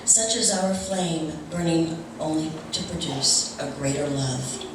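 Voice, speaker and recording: Low-voiced, slow woman in an echoing hall. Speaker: service speaker giving announcements and readings. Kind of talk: church announcements and reading aloud. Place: large reverberant room at a church service. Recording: very echoey, noisy room, distant mic.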